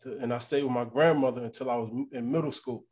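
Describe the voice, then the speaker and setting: Easygoing American man, medium pitch. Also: fairly even intonation, quick pace, a crowd in the background. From New Jersey and Florida, United States. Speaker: podcast guest. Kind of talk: casual chat.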